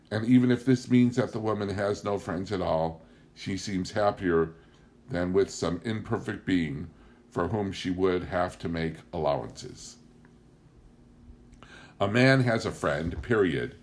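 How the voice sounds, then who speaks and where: A man's voice: on the low side, darkish tone. A man reading a literary memoir aloud as an audiobook narrator. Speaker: audiobook reader.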